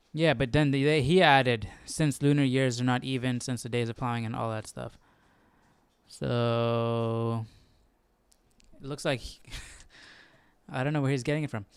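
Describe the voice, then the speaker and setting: Clear, dry-miked man thinking aloud. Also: mid-range voice, no room sound. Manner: inquisitive, informal, talks to himself. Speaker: Bible study livestream host. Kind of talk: casual livestream study, thinking aloud and reading articles aloud.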